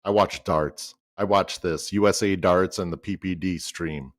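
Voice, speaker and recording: A man's voice: low voice, steady tone, a little slow. A man in his fifties chatting easily as a co-commentator on a darts stream. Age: 50s. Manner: chatty, easygoing storyteller. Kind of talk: casual chat on a stream.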